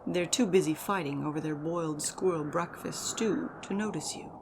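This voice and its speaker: A woman giving a measured, theatrical reading as narrator of a fantasy audio drama. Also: sits low, background noise. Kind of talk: dramatic second-person fantasy story narration.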